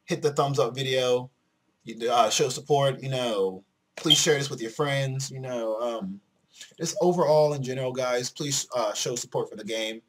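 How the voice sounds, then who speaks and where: A man's voice: mid-range voice, moderate pace, a little hiss or hum. A man giving casual let's play commentary, rambling and repeating himself as he talks to his viewers. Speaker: video game streamer.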